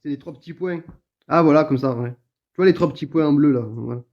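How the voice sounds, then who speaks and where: A man with a fairly high voice chats casually as host of a livestream. The sound is dull and lo-fi. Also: brisk. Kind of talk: casual chat.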